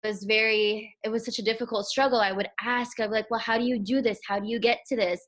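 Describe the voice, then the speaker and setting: A woman's voice: fairly high pitch, steady tone, fast talker. A young woman from Egypt, a chiropractor guest, talking candidly about her studies and laughing often. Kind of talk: casual interview conversation.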